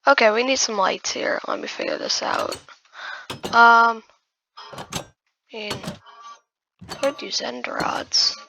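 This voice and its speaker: A hoarse woman who has just woken up, giving casual gameplay commentary while building and often muttering in half-whispers to herself. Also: on the high side, lively intonation, slow pace, pauses often, sharp tone, a little hiss or hum. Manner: muttering half-whispered asides to self, addressing viewers as guys. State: hoarse, losing voice after just waking up. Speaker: gaming video creator.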